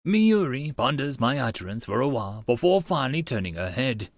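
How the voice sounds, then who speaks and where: A man's voice: medium pitch, sing-song, a little fast, dry recording, clean studio sound. A man narrating a visual novel aloud as a channel host, slipping into casual asides.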